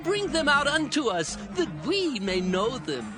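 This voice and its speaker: A man voicing an angry mob of men, shouting and jeering in a dramatized Bible reading. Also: extremely high pitch, wildly swooping pitch, measured pace, heavily acted. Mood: hostile, jeering. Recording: voices over loud music and crowd.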